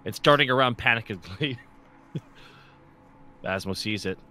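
A man's voice: mid-range voice, takes it slowly, pushed and bright. A man playing a tabletop roleplaying game, casually narrating what his character does. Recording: faint background noise.

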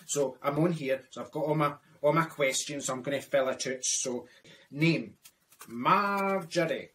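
A man's voice: on the high side, measured pace, fairly bright tone, slight background noise. A Scottish man voicing a chatty older widowed woman who rambles with dry asides, in a comic character monologue. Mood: gossipy.

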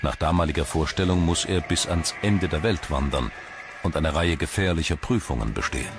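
A man reading scripted documentary narration in a measured, dramatic storytelling manner. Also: extremely low pitch. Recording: music bed behind the voice. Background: music.

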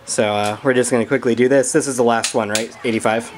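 A young man hosting a garage vlog, chatting casually as he works on an engine, relaxed with lots of fillers. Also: medium pitch, fast. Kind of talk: casual chat while working on an engine. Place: home garage. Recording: noisy garage recording.

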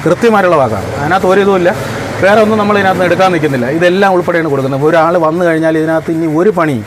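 A middle-aged man talks very quickly in a slightly high voice. He explains his points emphatically and repeats them with insistence, as in an interview. There is faint noise behind him. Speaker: interviewee, homeowner organizing a lucky draw.

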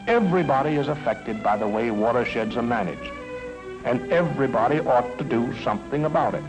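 A man's voice: medium pitch, rough recording. An American man giving folksy, emphatic scripted narration in the manner of a mid-century educational film. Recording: old film soundtrack with music.

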